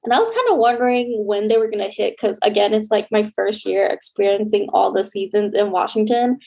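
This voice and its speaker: A woman's voice: mid-range voice, lively intonation, brisk, creaky, darkish tone, slightly rough, poor audio. A young woman co-hosting a casual podcast, a bit stuffy from a cold, talking chattily with lots of "like". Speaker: podcast co-host.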